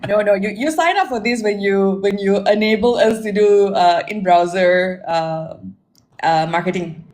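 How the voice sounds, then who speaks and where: A woman's voice: mid-range voice, normal speed, a little mellow. A forty-year-old woman with a Malaysian accent, talking conversationally about herself to listeners and checking in with them as she goes. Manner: conversational, addresses listeners, tags statements with "right?". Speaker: interview guest, marketing technology consultant. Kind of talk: informal self-introduction and career account. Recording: echoey room with faint background noise.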